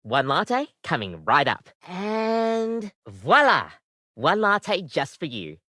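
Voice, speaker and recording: A woman's voice: on the low side, big pitch swings, slow pace, pushed and bright, no room sound, studio quality, exaggerated voice. A woman voicing a friendly, chatty coffee maker come to life as a barista in a video game, with bubbly, slightly awkward customer patter. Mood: cheery, welcoming. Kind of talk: animated video game voice acting.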